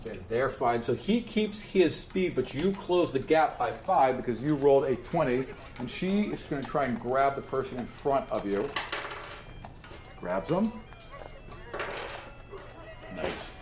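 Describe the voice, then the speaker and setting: A man's voice: medium pitch, animated, unhurried, long pauses. A man running a tabletop role-playing game, narrating off the cuff in a casual, sweary way. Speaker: game master. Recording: echoey room with music behind. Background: music.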